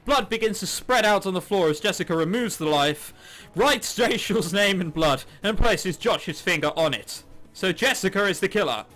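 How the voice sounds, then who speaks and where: A man's voice: high voice, expressive, normal speed, fairly bright tone. A man reading a visual novel aloud as a gaming host, with amused, sarcastic, sweary asides, over game soundtrack music. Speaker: host of a gaming video series.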